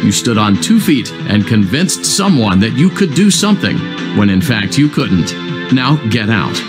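A man's voice: fairly low pitch, big pitch swings, a little slow, music in the background. An actor playing a cheery, smug company announcer who delivers insults like a salesman's congratulations in an upbeat cartoon narration. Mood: cheerfully condescending.

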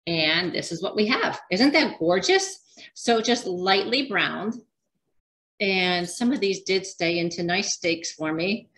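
A woman's voice: lowish voice, animated, slow, fairly bright tone. A woman teaching a casual cooking demonstration, chatty and rambling with frequent ums and restarts. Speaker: dietitian cooking instructor.

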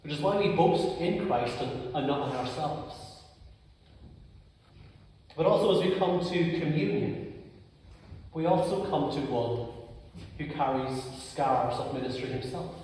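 A young man from Northern Ireland with a stammer preaches an earnest, personal sermon. He pauses slowly on key words, and his voice sits a little high. He is heard from a distance in a large church that gives him a long, ringing echo. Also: darkish tone, a little hiss or hum. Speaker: clergyman. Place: large church sanctuary, indoors. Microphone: far camera. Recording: echoey church from far off.

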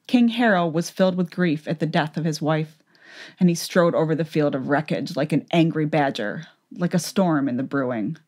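A woman narrates a fantasy story as in a dramatic audiobook. Her voice is pitched low. The delivery is scripted storytelling. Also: normal speed, a little mellow.

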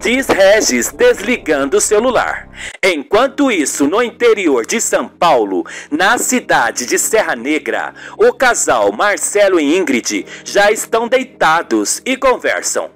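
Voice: medium pitch, very animated, measured pace, fairly bright tone. A narrator reading scripted narration for a radio soap. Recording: music bed under the voice.

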